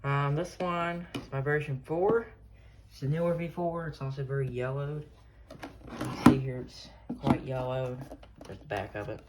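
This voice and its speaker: Man, noisy amateur recording, casual show-and-tell, mid pitch, rambling, offhand, trails off. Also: steady tone, moderate pace. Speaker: collector showing off a weather radio collection.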